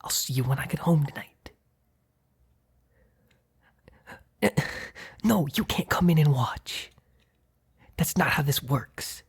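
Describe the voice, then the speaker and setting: A man playing a gruff, short-tempered mob boss in an ASMR roleplay, with a gravelly, husky voice. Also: fairly high pitch, unhurried, full character voice. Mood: hushed, exasperated. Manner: commanding street-tough slang with crew, flustered hushed stammer with partner. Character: gruff, short-tempered male mob boss hiding his work from partner.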